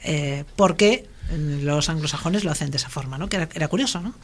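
A man's voice: a little high, brisk. A man in casual conversation, often ending phrases with a questioning tag. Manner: frequent question tags seeking agreement.

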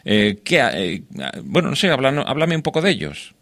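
A man interviewing a guest on the radio, asking halting questions and murmuring agreement often. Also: medium pitch, big pitch swings, fairly bright tone, no echo, clean studio sound. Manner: frequent "ujú" backchannels, halting questions.

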